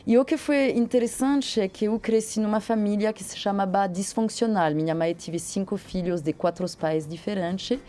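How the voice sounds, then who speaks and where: A woman's voice: mid-range voice, normal speed, few pauses, mellow. A woman from France with a French accent, a guest on a radio morning show, speaking reflectively with frequent hesitations. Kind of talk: radio interview.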